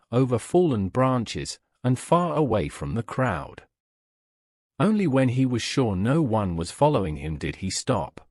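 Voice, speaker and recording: A man's voice: medium pitch, a little mellow, no echo, clean studio sound. A man narrating a scripted moral story as a storyteller.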